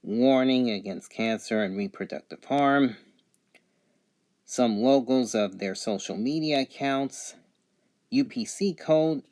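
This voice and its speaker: Male product reviewer, medium pitch, unscripted and matter-of-fact, listing features haltingly one by one.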